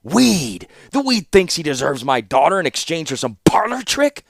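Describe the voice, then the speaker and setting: A man's voice: fairly low pitch, expressive, fairly quick, no room sound, studio quality. A man voicing a furious, bellowing male warlord and proud father who roars his words. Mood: outraged. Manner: roaring, shouting.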